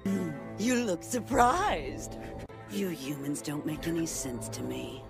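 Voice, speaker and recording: A woman's voice: low voice, sing-song, slow pace, exaggerated voice. A woman voicing a cruel, youthful, androgynous shapeshifting monster in an anime dub, sneering and playfully taunting. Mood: sneering, contemptuous. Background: loud music.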